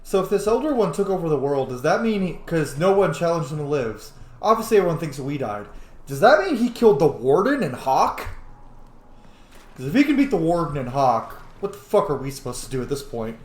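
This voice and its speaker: A man's voice: on the high side, sing-song, a little fast, darkish tone. A man giving casual, sweary gameplay commentary, thinking aloud and roleplaying as he reacts to the game. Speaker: gamer, streamer. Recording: roomy, faintly noisy home recording.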